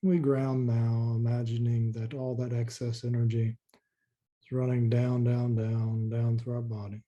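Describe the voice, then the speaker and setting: Guy doing a guided meditation, super slow n soft, really mellow relaxed voice, lots of pauses. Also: medium pitch, dull audio. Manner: slow, calming, with long pauses and repeated words.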